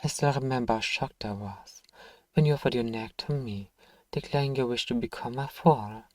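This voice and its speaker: A man playing a soft, distant, ancient vampire. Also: medium pitch, dry recording, close to an everyday voice. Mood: fond, tender. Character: thousand-year-old male vampire, nostalgic, tender, sorrowful. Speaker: ASMR role-play performer. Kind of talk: scripted ASMR storytelling role-play.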